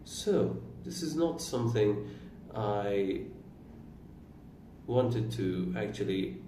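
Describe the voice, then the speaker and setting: A man in his twenties whose first language is Turkish, talking slowly about his own life in a halting way, pausing and correcting himself. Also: lowish voice, animated, mellow. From Iran. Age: 20s. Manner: slow, halting, with pauses and self-corrections. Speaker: vlogger. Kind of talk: reflective personal monologue. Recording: roomy, distant mic with faint room noise.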